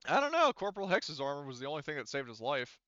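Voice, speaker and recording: Male game master, crisp forceful voice, casual and sweary, tabletop session, dry slightly muffled audio. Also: a little high, big pitch swings, fast, no room sound. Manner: casual, profane, talking through dice rules. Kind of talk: running a tabletop roleplaying game.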